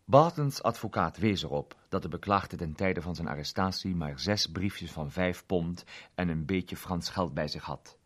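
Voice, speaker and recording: A man narrating a scripted story as an expressive storyteller. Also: sits low, moderate pace.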